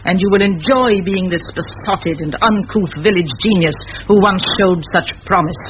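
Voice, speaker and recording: An actress playing a determined middle-aged schoolmistress in a vintage radio play, speaking in firm, measured, eloquent phrases. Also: female voice, mid-range voice, natural voice. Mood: stern, urgent. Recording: muffled old radio recording, faint hiss.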